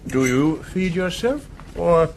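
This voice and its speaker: An actor playing a domineering, mocking sea captain in a radio drama, sardonic and probing, with deliberate hums. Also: male voice, a little high, big pitch swings, a little mellow, slight background noise, full character voice. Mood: challenging, sardonic.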